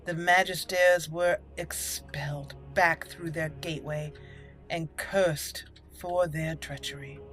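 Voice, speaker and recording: A woman hosting a roleplay game playthrough, speaking in a deliberate storyteller manner. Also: lowish voice, rough recording. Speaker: gaming channel host. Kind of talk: roleplay game commentary and dramatic lore reading. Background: faint game music.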